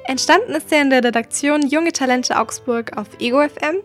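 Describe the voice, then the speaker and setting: High-voiced woman podcast host, clear scripted read, faint music behind. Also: a little fast.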